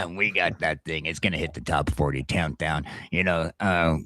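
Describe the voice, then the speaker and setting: A man hosting a casual morning talk show, rambling haltingly and sounding groggy, with a crude joking streak. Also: extremely low pitch, a bit bright, dry recording, studio quality.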